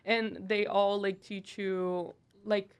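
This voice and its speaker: A woman's voice: medium pitch, slow, clean tone. A young woman from Brazil chats easily as a guest, dropping fillers as she goes. Her talk stays loose and conversational. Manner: relaxed, chatty, with frequent fillers. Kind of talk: casual conversation.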